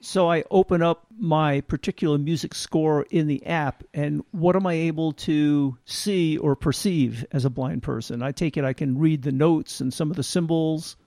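A male interviewer with a slightly raised pitch asks measured, thoughtful questions. His voice is dry and close to the mic. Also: creaky, warm tone, no echo. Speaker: interviewer, host.

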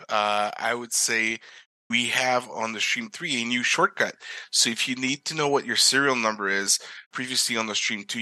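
A man's voice: on the low side, no room sound. A man from Quebec with a Québécois French accent, French his first language, explaining products as a guest in a casual interview with frequent fillers. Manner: relaxed explanatory, with many "uh" fillers.

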